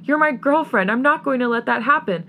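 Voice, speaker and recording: A woman's voice: mid-range voice, fairly quick, fairly bright tone, slight background noise, natural voice. A woman voicing a wounded young man in an audio story, an indignant boyfriend pleading and swearing in exasperation. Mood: angry.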